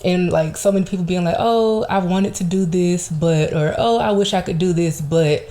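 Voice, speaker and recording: Casting: young Southern woman, low mellow voice, easygoing encouraging podcast host. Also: moderate pace. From Georgia, US. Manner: affirming, encouraging. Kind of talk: casual chat.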